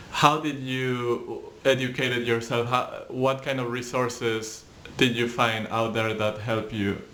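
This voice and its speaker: A man's voice: mid-range voice, a bit bright, slight background noise. A man in his thirties hosting an interview over a video call, asking friendly, structured questions. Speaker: host. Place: indoor room.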